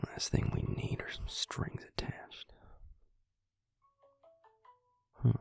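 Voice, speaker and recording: A man playing a devious, smug vampire recruiter in an intimate audio roleplay, speaking in a husky, breathy half-whisper with a slow, sinister drawl. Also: a little mellow, natural voice. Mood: probing, scheming. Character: devious male vampire recruiter, smug, coolly menacing, manipulative. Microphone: close, dry microphone.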